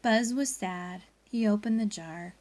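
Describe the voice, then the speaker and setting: A woman's voice: mid-range voice, measured pace, darkish tone. A woman teacher leading a read-aloud lesson for pupils, reading expressively and guiding them through a story. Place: indoors at a laptop.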